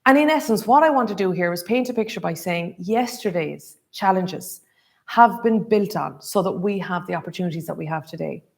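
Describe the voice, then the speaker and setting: A woman's voice: lowish voice, normal speed, vocal fry. Emphatic woman moderating a panel. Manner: emphatic, rhetorical questions. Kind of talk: scripted panel introduction.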